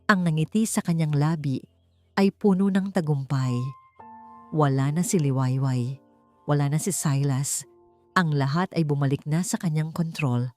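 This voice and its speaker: A woman reading a scripted dramatic audiobook story in a measured manner, with dramatic pauses. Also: low-pitched, darkish tone. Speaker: audiobook narrator. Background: background music.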